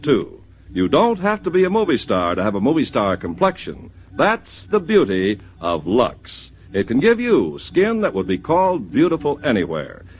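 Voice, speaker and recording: A man's voice: medium pitch, highs cut off. A male radio announcer with a polished, persuasive 1950s ad-reading delivery.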